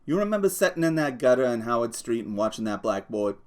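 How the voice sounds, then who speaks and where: Male reader doing a small irritable drifter; gruff, grumbling, swings up when riled. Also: mid-range voice, sing-song, fast talker, a little mellow, lightly acted. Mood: exasperated. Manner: gruff, scolding, swearing. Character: small, wiry, irritable working man. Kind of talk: audiobook character voice.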